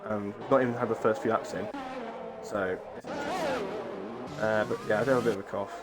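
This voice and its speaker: A man's voice: on the low side, wildly swooping pitch, quick pace, over loud music. A man with a cough and a sore throat from a cold, giving rambling, chatty live commentary on an online racing league and often breaking off mid-thought. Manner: rambling, chatty, self-interrupting. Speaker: race commentator.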